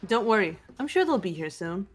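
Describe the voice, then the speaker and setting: A composed teenage detective girl voiced by a woman speaks in an even, mid-pitched voice. She is measured and reserved and chooses her words with care. She gives little emotion away. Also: faint music behind. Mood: reassuring. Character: calm guarded teen girl detective. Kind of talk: fan voice acting of a game.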